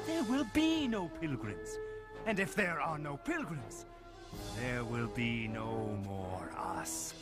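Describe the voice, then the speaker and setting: A grave, scheming animated villain. He talks slowly and emphatically, with big swings in pitch and an ominous air. Loud music surrounds him. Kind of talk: animated film dialogue. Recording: loud film music behind the voice.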